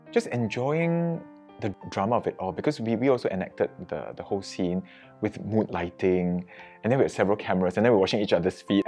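A man with a very low voice talks as a friar in a documentary interview. He speaks haltingly, with many fillers and restarts. A faint guitar plays underneath. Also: dark tone.

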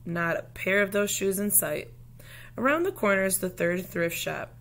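A woman reading a children's picture book aloud like a teacher, with deliberate, dramatic phrasing. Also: mid-range voice, animated, a bit bright.

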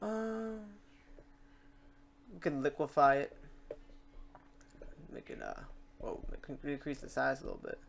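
A man hosting a software tutorial in a casual, chatty way, talking through each step aloud. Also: medium pitch, wildly swooping pitch, measured pace, vocal fry, pauses often, slight background noise. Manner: informal, chatty, talks through steps aloud. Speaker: tutorial host.

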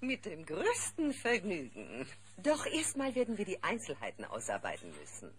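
Evil sorceress: haughty, purring, imperious, theatrical audio-play villainess with hugely varied intonation. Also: female voice, lowish voice, huge pitch swings, normal speed. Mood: silky, scheming. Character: haughty, power-hungry evil sorceress lady. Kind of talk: children's cartoon audio drama acting.

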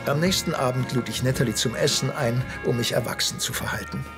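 A middle-aged man narrates with a wry storytelling tone. His voice sits at an average pitch, and music runs loudly behind it. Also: a little fast. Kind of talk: dubbed sitcom narration.

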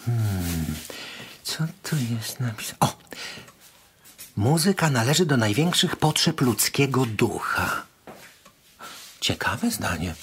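A man's voice: medium pitch, big pitch swings, slow, pauses often, fairly bright tone, slight background noise. Wide-eyed boy hero, wildly swooping voice. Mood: curious. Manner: theatrical, exclamatory, musing aloud. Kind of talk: children's musical radio play.

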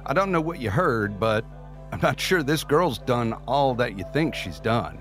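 An actor playing an evasive, smooth-talking man in an audio drama, flippant and wheedling. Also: mid-range voice, lively intonation, moderate pace, a bit bright, faint music behind, close to an everyday voice. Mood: nervous, placating. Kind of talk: scripted audio drama acting.

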